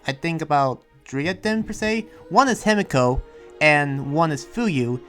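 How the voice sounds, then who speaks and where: A man playing a friendly, earnest townsman in an improvised anime game roleplay, explaining himself with hesitant, rambling pauses, with music behind him. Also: on the high side, lively intonation, a bit bright. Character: friendly, earnest demon-sheltering townsman who senses lies.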